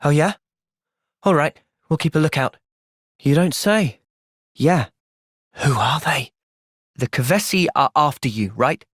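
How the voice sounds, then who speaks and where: A man with a British accent playing a thoughtful, gentle young soldier in video game cutscenes, measured and earnest. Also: fairly high pitch, expressive, slightly rough, no room sound, studio quality, full character voice. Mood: calm, earnest.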